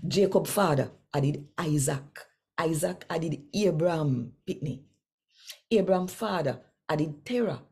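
An older woman with a Jamaican accent reading scripture in Patois in a measured, deliberate way. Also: deep voice, vocal fry, dark tone, breathy edge. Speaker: Bible reader and presenter. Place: small home room, indoors.

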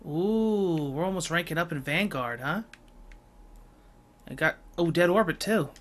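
A man streaming a video game with casual commentary and low-key reactions to himself, half muttered. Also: expressive, a little slow, a bit bright, slight background noise. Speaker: gaming streamer. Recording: game music behind. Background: video game music.

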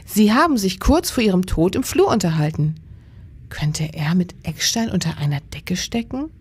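An actress playing a concerned, inquisitive police detective in a radio crime drama, earnest and questioning, with a husky voice. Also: female voice, fairly low pitch, sing-song, moderate pace, a little mellow, exaggerated voice.

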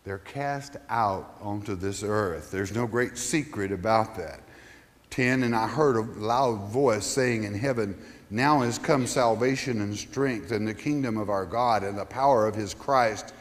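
A man's voice: fairly low pitch, measured pace, big-room sound. A male pastor teaching a Bible study in a conversational way, reading scripture aloud and putting questions to his listeners.

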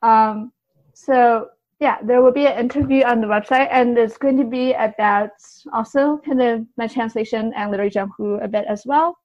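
A woman's voice: a little high, fairly even intonation, normal speed, darkish tone, no echo, muffled recording. A woman answering casually as a podcast guest, in a tentative, hedging way. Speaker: guest, translator and poet.

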